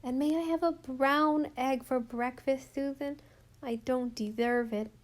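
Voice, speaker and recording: A small girl lisps through her words, slow and pleading, pitched high and bright. A woman acts her out in a storybook reading. Each line comes earnest and eager to be good. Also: fairly even intonation. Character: small lisping little girl, earnest and remorseful.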